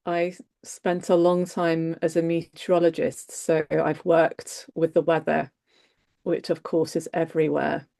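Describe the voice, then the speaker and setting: A woman with a croaky voice speaks slowly and gently, with a wry touch. Her tone is low and dark. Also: monotone. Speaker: participant. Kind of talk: online circle sharing.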